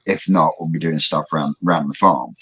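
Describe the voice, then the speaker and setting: A man's voice: rumbling low, fairly quick, a bit bright, breathy edge. A man co-hosting a casual live stream, chatting at length about his pond filtration plans with lots of restarts. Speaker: co-host. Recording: dull lo-fi stream audio.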